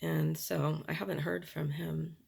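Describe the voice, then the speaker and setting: A middle-aged woman with a raspy voice, chatting candidly while doing her makeup, in a slow, rambling manner. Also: low-pitched, little pitch movement, a little mellow. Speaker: beauty vlogger.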